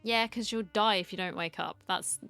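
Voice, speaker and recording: A woman's voice: mid-range voice, fairly even intonation, moderate pace, bright, forceful tone, smooth, faint music behind. A woman giving casual reaction commentary as she streams a game, musing aloud and talking back to the characters.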